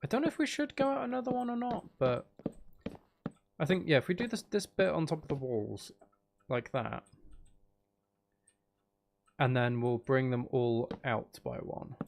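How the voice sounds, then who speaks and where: A man streaming a game with casual, rambling commentary, thinking aloud as he plays. His medium-pitched voice has plenty of creak and fry. Faint noise and clicking sit behind him. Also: animated, moderate pace, long pauses. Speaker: gaming streamer. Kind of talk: casual live gameplay commentary.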